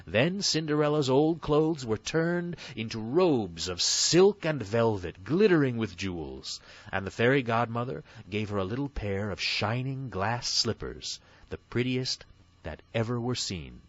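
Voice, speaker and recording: Male bedtime-story narrator, very lively intonation, rough-edged tone, dull lo-fi recording. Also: medium pitch, measured pace. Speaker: audiobook narrator. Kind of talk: scripted bedtime fairy tale narration.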